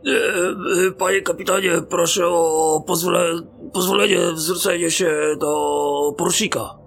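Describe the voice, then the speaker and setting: Guy doing jittery ensign in fantasy radio play, stammery, high male voice with gravel, faint noise behind. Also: steady tone. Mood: nervous, hesitant. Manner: halting, stammering. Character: nervous, deferential male ensign reporting to officers. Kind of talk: fantasy audio drama acting.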